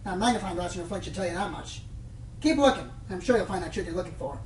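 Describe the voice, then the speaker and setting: A woman playing a cynical, worldly-wise pony news reporter in a radio play, brisk and condescending. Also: fairly low pitch, noisy background, lightly acted. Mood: advising. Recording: roomy, off-mic, faint music behind.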